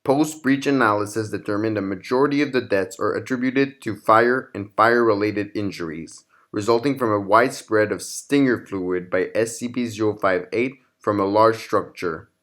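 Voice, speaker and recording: A man's voice: fairly low pitch, moderate pace, few pauses, a bit bright. A man hosting and narrating scripted horror fiction in the form of documents and logs. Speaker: narrator and channel host.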